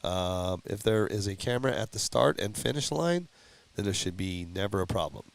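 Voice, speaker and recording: A middle-aged man hosts a live stream in a lowish voice. He chats loosely and rambles from one thought to the next, stopping to read viewer comments aloud. His talk is full of restarts and small chuckles. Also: expressive, moderate pace, vocal fry. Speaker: host of a live-streamed hobby talk show. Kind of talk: casual chat, reading out viewer comments.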